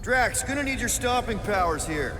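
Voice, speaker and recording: An actor playing a young, easygoing, easily flustered space-outlaw leader in a video game, casual and joking. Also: male voice, sits high, sing-song, normal speed, noisy background. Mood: casual, subdued. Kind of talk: video game cutscene acting. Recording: hall reverb, music behind.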